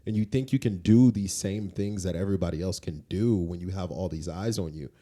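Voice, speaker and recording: Casting: male podcast co-host, dark mellow tone, slightly low, conversational yet insistent and preachy. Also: brisk. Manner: emphatic, moralizing, repeats points for weight. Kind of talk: conversational opinion on faith.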